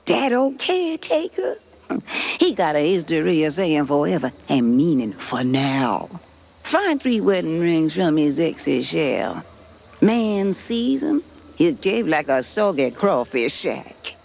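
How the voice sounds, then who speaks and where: An actress playing a sly, superstitious swamp fortune-teller with a Louisiana Cajun accent, ominous and teasing, in a cartoon game. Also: female voice, low-pitched, sing-song, slow, a little mellow, heavily acted. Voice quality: croaky, gravelly.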